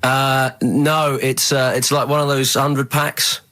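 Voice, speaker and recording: A man's voice: medium pitch, little pitch movement, slow pace, bright, forceful tone. Man chatting casually on radio. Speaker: radio DJ and studio guest.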